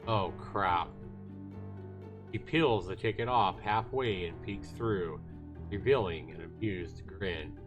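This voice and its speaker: A man's voice: low voice, slow. A man livestreaming, narrating a visual novel aloud expressively with casual asides, game music playing behind him.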